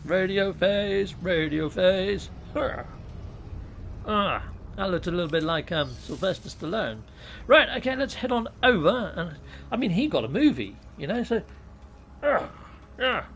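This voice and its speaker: A man's voice: high-pitched, very animated, unhurried, gravelly, a bit bright, slight background noise. A British man in his thirties chatting casually with viewers while live-streaming a game, joking and poking fun at himself, on a USB mic set on his laptop in a small room at home. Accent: British English. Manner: jokey, self-mocking, chats with viewers. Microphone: USB mic on top of a laptop.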